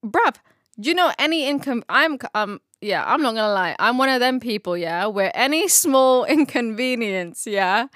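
A woman's voice: a little high, expressive, moderate pace, fairly bright tone, dry recording, studio quality. A woman co-hosting a casual Bible study chat, slangy and laughing often.

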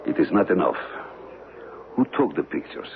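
An actor playing a ruthless, conscienceless Major in an old radio drama, speaking formally and precisely with calm menace. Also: male voice, very deep voice, normal speed, phone-quality sound, full character voice. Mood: cold, probing. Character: cold, ruthless killer, a Major without conscience, bargaining and menacing. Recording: noisy, band-limited old radio recording.

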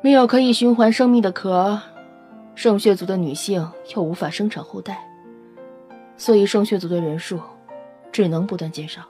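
Audio drama casting: solemn, wistful half-blood woman, voiced by an actress; soft mellow tone, measured expository delivery, music bed. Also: medium pitch, natural voice. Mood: matter-of-fact lecturing. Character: dark-haired half-blood alien beauty, solemn, wistful expounder. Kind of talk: Chinese audio drama acting. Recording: music under the voice. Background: background music.

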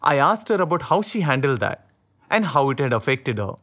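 A man narrates in a scripted journalistic style. His mid-range voice moves with lively, varied intonation as he links parts of an interview. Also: medium pitch, fairly quick.

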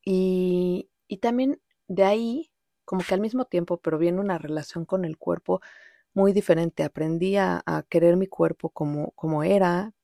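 A woman's voice: fairly low pitch, fairly even intonation, normal speed, creaky, warm tone, no room sound, studio quality. A woman with a Mexican accent hosting a podcast, telling personal reflections in an informal, rambling way with occasional English words. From Mexico City, Mexico. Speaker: podcast host. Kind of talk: casual personal monologue, reflective storytelling.

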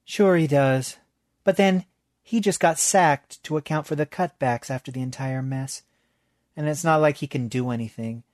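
A man reading a story, voicing a persuasive young female student who talks quickly. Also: fairly high pitch, a little mellow, no room sound, studio quality, pitched above the performer's own voice, natural voice. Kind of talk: audiobook character voice.

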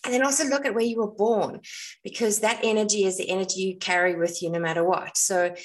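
A woman's voice: medium pitch, steady tone, quick pace, low-quality recording. A woman with a South African accent, hosting a solo podcast in a casual, conversational and explanatory way. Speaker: podcast host and astrologer.

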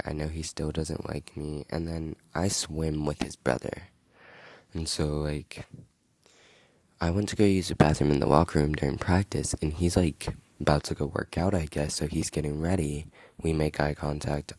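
Slow, gravelly young man ranting. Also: extremely low pitch, darkish tone, no echo. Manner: rambling, filler-heavy, indignant. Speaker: podcast host. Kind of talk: casual storytelling monologue. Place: outdoors in wind.

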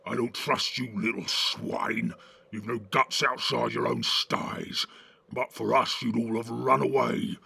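Storybook orc commander: deep growl, rasping and hard-edged, blunt and boastful. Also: male voice, fairly even intonation, takes it slowly, pushed and bright, no echo, full character voice. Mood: boastful, scornful. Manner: blunt, domineering. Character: commanding, boastful big male orc captain. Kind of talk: audiobook character voice.

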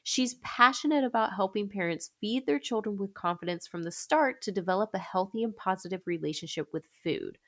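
A woman's voice: medium pitch, brisk, hardly any pauses, a bit bright. A woman hosting a podcast, congested and a little stuffy from a recent illness, talking in an upbeat, chatty way with frequent laughs. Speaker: podcast host. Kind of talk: casual podcast chat and scripted intro.

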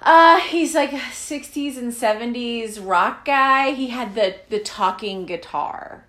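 A middle-aged mother co-hosting a casual chat podcast, teasing and chatty, often pausing on fillers. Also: fairly high pitch, bright, forceful tone. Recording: echoey room.

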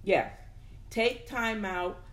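A woman giving an instructional talk, reading out examples and adding emphatic, halting asides and rhetorical questions. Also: medium pitch. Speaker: presenter teaching a self-improvement lesson.

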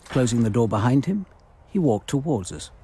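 An actor playing a composed, courteous senior detective in a radio drama, with formal, measured speech and an English accent. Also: male voice, medium pitch, very animated, warm tone, slight background noise. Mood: composed, wry. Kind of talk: radio drama, first-person narration and dialogue.

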